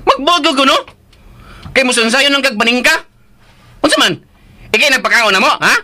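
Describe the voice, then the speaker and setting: A high, animated male voice plays a folksy, protective uncle with a Cebuano accent. He teases and blusters in radio drama style, swinging widely in pitch and always ready to stand his ground. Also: normal speed, full character voice. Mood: defiant, angry.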